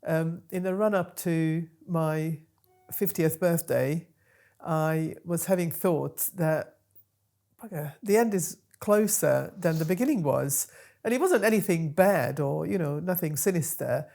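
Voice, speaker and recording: A woman's voice: low voice, measured pace, vocal fry, mellow. A woman in her fifties, a bit nasal from a cold, chatting casually about her own story in a small studio, with plenty of ums. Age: 50s. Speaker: podcast host and guest. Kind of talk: casual interview chat.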